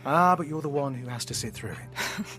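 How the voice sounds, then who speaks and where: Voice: mid-range voice, lively intonation, moderate pace, sharp tone, soft background music. An actor in an audio drama playing a playful, theatrical storyteller who narrates with grand melodrama and teasing asides. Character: playful, theatrical storyteller friend spinning a myth at home.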